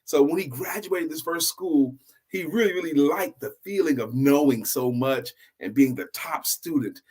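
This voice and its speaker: A male storyteller with a voice a little on the high side. He hosts in a loose, conversational style, animated and fond of repeating phrases to drive them home. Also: normal speed, breathy edge. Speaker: storyteller and show host. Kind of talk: casual, improvised storytelling for adults.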